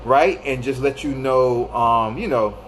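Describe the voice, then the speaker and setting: A man's voice: mid-range voice, a little slow, a little hiss or hum, poor audio. A man in his thirties hosting a live stream, giving loose, repetitive advice and greeting viewers by name. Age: 30s. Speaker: livestream host.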